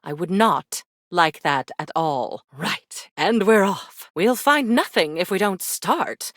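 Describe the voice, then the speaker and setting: A woman's voice: on the low side, lively intonation, slow pace, dry recording. A woman voicing a haughty female spirit in a video game, speaking in an arch, theatrical way with dry sarcasm and condescending endearments. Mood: stern. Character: haughty, sardonic female attic spirit of forgotten keepsakes. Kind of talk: scripted video game character acting.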